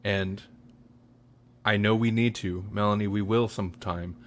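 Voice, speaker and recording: Casual male podcast host with a lowish voice and a rambling, self-correcting way of talking. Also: steady tone, a little slow, a bit bright. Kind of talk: casual chat.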